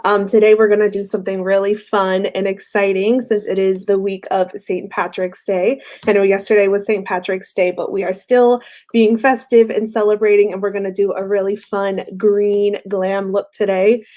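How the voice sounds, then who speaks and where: A woman's voice: mid-range voice, little pitch movement, normal speed, warm tone. A young woman hosting a casual makeup tutorial livestream, her voice a little off while she recovers from being sick, chatty with frequent fillers. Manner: chatty, friendly, frequent "um" fillers and light laughs.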